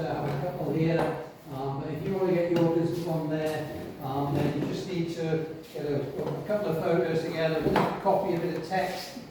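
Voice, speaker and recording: A man hosting a business networking meeting, talking in a relaxed way with many uhs and ums. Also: on the high side. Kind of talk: casual event hosting. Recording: echoey room, from a distant mic, faint noise.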